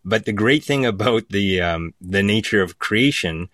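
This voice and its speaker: Low-voiced man talking in a dry, close recording. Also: animated, measured pace, a bit bright, no echo. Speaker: playwright, podcast guest. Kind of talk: casual panel discussion.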